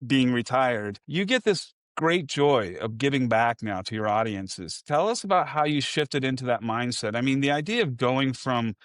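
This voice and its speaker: Smooth-voiced reflective podcast host. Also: male voice, medium pitch, lively intonation, moderate pace, hardly any pauses, fairly bright tone, no echo, clean studio sound. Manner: reflective, musing, long rambling questions. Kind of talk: conversational interview.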